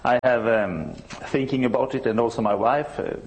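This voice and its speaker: A man in his sixties from Sweden with a Swedish accent, a church musician telling of his conversion in halting English, searching for words. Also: medium pitch, rough recording. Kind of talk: interview answers.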